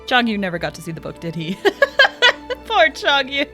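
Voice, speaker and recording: A woman's voice: high-pitched, huge pitch swings, measured pace, pushed and bright, soft background music. A woman game streamer making casual live reactions.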